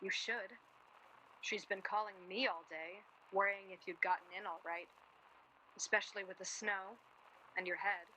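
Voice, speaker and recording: A woman's voice: mid-range voice, steady tone, moderate pace, long pauses, pushed and bright, slight background noise, lightly acted, low-quality recording. An actress playing a guarded, bitter and blunt young woman in a naturalistic radio play, arguing her points plainly. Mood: guarded, weary. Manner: blunt, argumentative. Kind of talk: radio play dialogue, naturalistic period drama.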